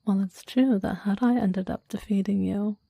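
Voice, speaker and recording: Woman as a softly whispering, possessive demon. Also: medium pitch, steady tone, moderate pace, dry recording. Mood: reverent, menacing. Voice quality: breathy whisper, soft. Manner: formal, archaic, reverent phrasing. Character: clingy, possessive, deadly demon devoted to a master. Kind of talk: ASMR roleplay, mostly whispered.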